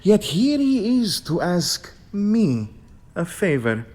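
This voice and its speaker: An actor playing a cocky, shrewd bandit chief in an audio drama, with a taunting, theatrical manner. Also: male voice, high-pitched, very animated, slow, a little mellow, full character voice. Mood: mocking, challenging.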